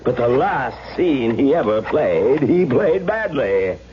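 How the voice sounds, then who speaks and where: An actor playing a pompous, self-important film director who speaks dramatically and repeats himself, in a 1970s radio drama. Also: male voice, medium pitch, very animated, slow, highs cut off. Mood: lofty, lamenting. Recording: hissy, band-limited vintage radio recording.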